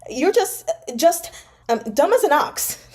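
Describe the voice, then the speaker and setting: A woman's voice: very high voice, big pitch swings, slow pace, darkish tone, voice pushed up, full character voice. A woman reading aloud as a proud, scornful, hot-tempered nobleman in his thirties. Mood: furious. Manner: scornful, sputtering when angered. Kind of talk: dramatic novel read-aloud.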